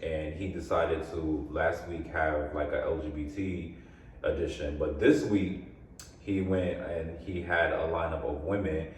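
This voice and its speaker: A man's voice: very deep voice, unhurried. A man hosting a podcast, chatting casually and telling stories with lots of laughter. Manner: animated storyteller, laughs often. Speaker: podcast host. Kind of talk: casual chat. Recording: echoey room from far off, faint noise.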